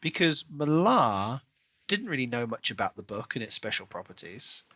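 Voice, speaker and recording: A man's voice: mid-range voice, very animated, normal speed, sharp tone, dry recording. A man co-hosting a podcast, casually discussing a book in a speculative, questioning way. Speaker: podcast co-host.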